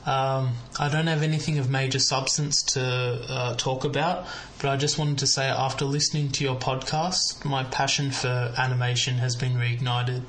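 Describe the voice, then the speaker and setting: A young Australian man leaving an earnest, polite and halting listener message. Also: mid-range voice. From Melbourne, Australia. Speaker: listener calling in. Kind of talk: prepared voicemail message. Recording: clear steady background noise.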